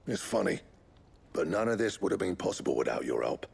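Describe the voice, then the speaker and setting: An actor with a British accent playing a hearty, plain-spoken, good-humoured blacksmith. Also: male voice, sits low, sing-song, fairly quick, gravelly, a little mellow, breathy edge, exaggerated voice. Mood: friendly, eager. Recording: music behind the voice.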